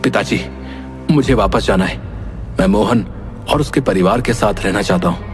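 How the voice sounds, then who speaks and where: A humbled young prince acted by a man, earnest and full of remorse. The delivery is dramatic, as in an animated moral tale, over clearly audible music. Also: fairly low pitch, normal speed, lightly acted. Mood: resolved. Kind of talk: Hindi animated moral story voice acting.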